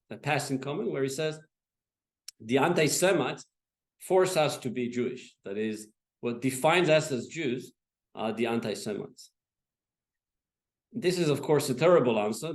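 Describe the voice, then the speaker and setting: A man speaks at an academic panel in a mid-range voice. He is measured and thoughtful, pausing on fillers and restarting phrases as he works through his argument. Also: medium pitch, darkish tone. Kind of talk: lecture remarks.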